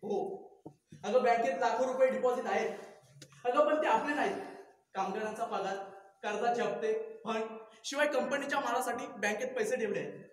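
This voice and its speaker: A man's voice: very high-pitched, moderate pace. A man playing an exasperated husband and loyal younger brother in a melodramatic domestic drama, pleading and reproving. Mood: defensive. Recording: echoey hall, distant mic, music.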